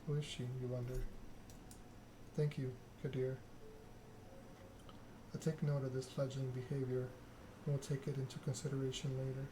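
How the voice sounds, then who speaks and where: Man narrating in a flat ASMR style, noisy background. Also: mid-range voice, moderate pace, long pauses. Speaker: video game player reading the story aloud. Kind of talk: ASMR game read-along, narration with dialogue.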